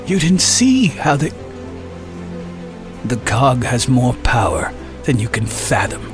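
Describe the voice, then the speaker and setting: A man's voice: mid-range voice, very animated, takes it slowly. A man playing an anxious, emphatic older mentor of a young squad in a sci-fi audio drama. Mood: alarmed, stern. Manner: emphatic, urgent, theatrical. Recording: music behind the voice.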